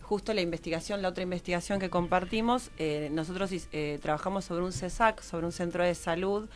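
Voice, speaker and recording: A woman's voice: lowish voice, fairly quick. A woman, explaining informally as an interview guest and tentatively rephrasing as she goes. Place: radio studio.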